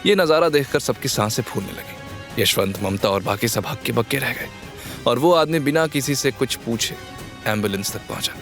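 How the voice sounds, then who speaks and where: A man's voice: mid-range voice, expressive, normal speed, a bit bright, soft background music. A man narrating a scripted audio drama story with dramatic delivery.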